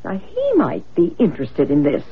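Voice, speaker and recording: Earnest spirit-believing mom-in-law, old radio drama, husky n very animated. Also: female voice, fairly low pitch, moderate pace, full character voice. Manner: earnest, insistent, articulate. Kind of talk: vintage radio drama acting. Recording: muffled lo-fi old radio broadcast.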